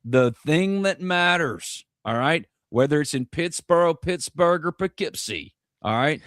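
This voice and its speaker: A man's voice: on the high side, dry recording, studio quality. A man from North Mississippi, hosting a live sports podcast in a rambling, joking, self-deprecating way. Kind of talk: casual live sports chat.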